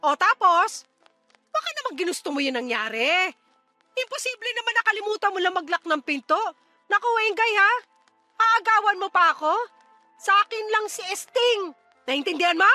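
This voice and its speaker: Casting: scornful nagging mother for radio drama, very high forceful voice, sarcastic and dismissive, music underneath. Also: expressive, normal speed, exaggerated voice. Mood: scornful, accusing. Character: callous, scornful middle-aged mother siding with her lover. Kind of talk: Tagalog radio drama acting.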